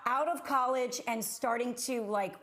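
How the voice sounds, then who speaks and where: High-pitched, effusive woman co-hosting TV. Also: unhurried, a bit bright. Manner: effusive, exclamatory. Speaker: TV anchor and co-host. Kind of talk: casual chat.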